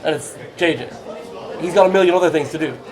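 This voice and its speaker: A sports interviewer from Ireland puts questions in a relaxed, chatty way, with a voice a little higher than most men's. A loud crowd murmurs all around him. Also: male voice, fast talker. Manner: chatty, laughs easily. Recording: noisy crowd behind.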